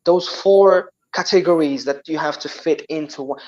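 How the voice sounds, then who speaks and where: A young man with a Moroccan accent, a Tamazight speaker, chatting as a guest, animated and full of restarts and repeated words. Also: on the high side, normal speed, lo-fi sound. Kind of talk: casual chat.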